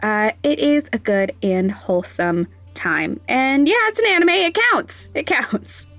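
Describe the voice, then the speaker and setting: A woman's voice: on the high side, sing-song, unhurried, a bit bright, no room sound, faint music behind. A woman hosting a podcast segment, chatting enthusiastically and laughing as she gives a recommendation. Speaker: podcast host.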